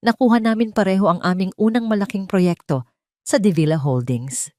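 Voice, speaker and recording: A woman voicing a grieving, guilt-ridden man in an audio drama, speaking gravely and haltingly with pain. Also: medium pitch, mellow, dry recording, studio quality, lightly acted. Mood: wistful, pained.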